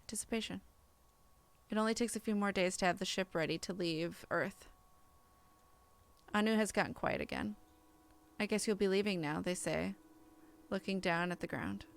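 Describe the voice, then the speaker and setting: Woman, game streamer, bright forceful voice, medium pitch, expressive story reading, casual asides, faint game music behind. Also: vocal fry. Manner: expressive reading mixed with chatty, self-interrupting reactions.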